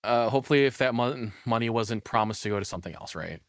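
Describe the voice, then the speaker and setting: A man's voice: medium pitch, quick pace, dry recording. A man giving casual, crude reaction commentary as a streamer, speaking bluntly and swearing freely into a close microphone.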